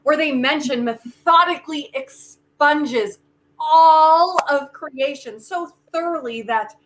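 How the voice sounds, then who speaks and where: A woman playing a grave, conflicted human who holds apocalyptic power, speaking deliberately and philosophically in a play reading. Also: sits high, a bit bright, rough recording. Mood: grave, musing. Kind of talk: virtual staged play reading.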